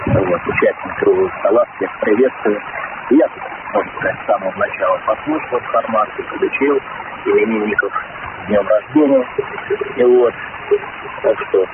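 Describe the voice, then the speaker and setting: A man with a fairly low voice checks in on an amateur radio net. He keeps it brief, polite and casual. His transmission is narrow and phone-like, with clear hiss. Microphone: two-way radio microphone.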